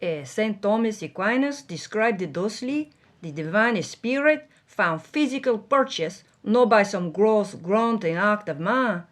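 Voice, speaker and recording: Woman putting on a deliberate, suave Spanish film director. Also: medium pitch, animated, lightly acted. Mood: wry, pontificating. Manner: deliberate, hesitant, savoring phrases. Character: suave older male Spanish film director, theatrical, philosophical.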